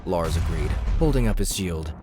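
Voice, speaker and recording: A man's voice: low voice, expressive, moderate pace, music in the background. A man narrating scripted fantasy fiction as an audiobook reader.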